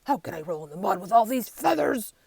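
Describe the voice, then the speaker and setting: A woman's voice: mid-range voice, lively intonation, normal speed, vocal fry, no room sound. A woman voicing a herd of proud, snorting warthogs in a storybook, boastful in manner. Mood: panicked.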